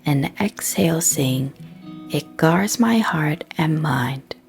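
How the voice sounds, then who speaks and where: Slow, low-voiced woman guiding meditation. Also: animated, faint music behind. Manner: soft, breathy, unhurried delivery with frequent pauses. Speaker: guided meditation and prayer leader.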